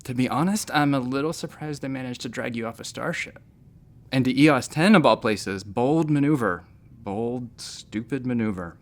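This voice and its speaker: An actor playing a wry, self-deprecating space station doctor in a sci-fi audio drama, chatty and a little defensive. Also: male voice, mid-range voice, moderate pace, close to an everyday voice.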